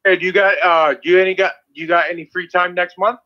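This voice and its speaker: A man's voice: high voice, flat delivery, fast, lo-fi sound. A man arguing combatively, swearing and repeating his claims insistently. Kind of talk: heated online argument.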